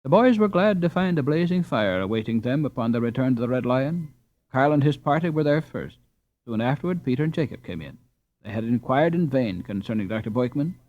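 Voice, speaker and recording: Male audiobook narrator for classic children's fiction, medium pitch, very animated intonation, slightly dull recording. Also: brisk, no room sound. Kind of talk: expressive storybook narration.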